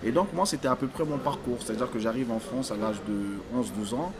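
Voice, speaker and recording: Halting man with crowd chatter behind. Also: medium pitch. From Africa. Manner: halting, circles back and restarts phrases. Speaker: stylist guest. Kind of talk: casual interview conversation.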